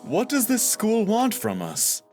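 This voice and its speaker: Young guy doing lets play commentary, lively jokey voice, game music faint. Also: high voice, measured pace. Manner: animated, jokey. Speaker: voice actor playing a game.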